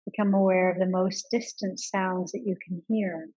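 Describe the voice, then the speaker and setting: A woman leading a guided yoga nidra meditation in a calm, measured manner with pauses between instructions. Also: on the low side, highs cut off.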